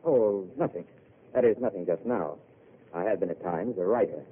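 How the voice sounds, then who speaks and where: A weary, wry wandering intellectual. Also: male voice, sits low, normal speed, slight background noise. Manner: wry, eloquent, self-mocking. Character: weary, self-mocking wandering intellectual man. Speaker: wandering failed writer. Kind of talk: 1930s radio drama acting. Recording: tinny old radio broadcast.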